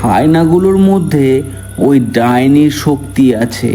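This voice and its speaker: A man voicing a grave, knowing village uncle who tells and narrates a cartoon story in a deliberate, instructive manner. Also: on the high side, animated, music in the background. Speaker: narrator and village elder character.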